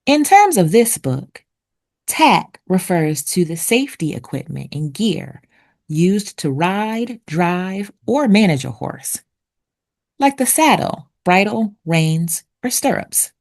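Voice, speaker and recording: A woman narrating for children like a teacher, with deliberate, expressive enunciation. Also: fairly low pitch. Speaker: read-aloud host and narrator. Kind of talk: children's picture book read-aloud with vocabulary teaching.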